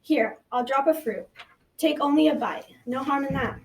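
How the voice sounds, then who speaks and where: A woman's voice: high voice, normal speed, heavy background noise. A young female student playing a sly, coaxing enchanted fruit tree in an amateur school radio drama. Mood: tempting. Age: child or teen. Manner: coaxing, taunting. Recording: distant from the mic.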